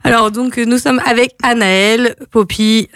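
A woman's voice: fairly high pitch, steady tone, measured pace, fairly bright tone, no room sound. A woman hosting a casual radio talk show from a studio, chatty and stumbling, often hesitating over her words. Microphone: studio microphone.

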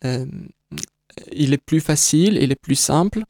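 A man's voice: a little high, expressive, a little slow, mellow, no echo, studio quality. A man whose first language is Kabyle, an exhibition creator giving a radio interview, speaking hesitantly with many fillers and restarts. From North Africa (Kabyle).

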